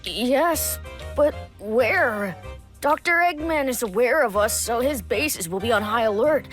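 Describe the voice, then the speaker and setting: A woman's voice: on the high side, expressive, a little slow, faint music behind. A woman voicing a timid, anxious young cartoon creature sidekick, meek, hesitant and precise in speech. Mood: worried. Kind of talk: cartoon comic dub acting.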